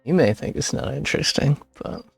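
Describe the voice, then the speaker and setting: A game streamer with a husky voice making casual reaction commentary in offhand asides. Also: mid-range voice, animated, fairly quick, faint music behind.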